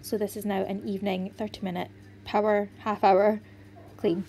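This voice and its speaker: A woman's voice: mid-range voice, flat delivery, moderate pace, mellow, a little hiss or hum. A young pregnant woman, tired but chatty, narrating a home cleaning video in voice-over. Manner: chatty, friendly, explaining each step. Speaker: cleaning vlogger.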